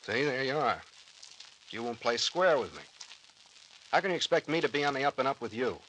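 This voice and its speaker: A bright, forceful private detective played by a man in an old American radio serial. He is shrewd and breezy, swinging widely in pitch as he takes command. The recording is old and a bit noisy. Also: animated, a little fast, natural voice. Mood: stern, challenging. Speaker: radio drama actor.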